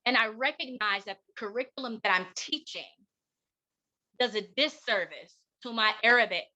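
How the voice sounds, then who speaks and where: Bright, high-voiced American woman giving a keynote. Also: expressive, dull audio. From United States. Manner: emphatic, deliberate rhetorical delivery with pauses and repetition. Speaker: keynote speaker and educator. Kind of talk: impassioned keynote talk.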